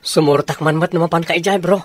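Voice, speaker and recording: A man's voice: fairly high pitch, steady tone, fast, a little hiss or hum, natural voice. A man with an Ilocano accent acting an earnest soldier in a radio drama. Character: earnest soldier abroad confiding in his buddy.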